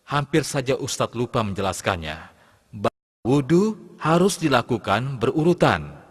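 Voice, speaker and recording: A man's voice: medium pitch, big pitch swings. Male teacher narrating in a reverberant space. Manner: measured step-by-step explaining. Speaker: Islamic religious teacher. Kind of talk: scripted instructional narration for children's cartoon. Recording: long hall reverb, clear background noise.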